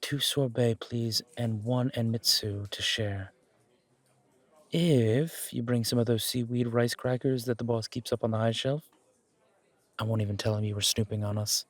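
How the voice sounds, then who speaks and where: A man plays an intimidating yet affectionate mafia boss out on a date. His voice is husky and mid-pitched, recorded dry and close. He talks casually, teasing and swearing with mock indignation, as in a romantic audio roleplay. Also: steady tone, moderate pace, no echo, close to an everyday voice. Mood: mock-indignant.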